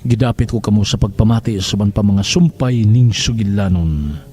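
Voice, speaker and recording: A man's voice: fairly low pitch, normal speed, warm tone, faint music behind. A man with a Cebuano Filipino accent delivering scripted dramatic narration as a radio drama narrator.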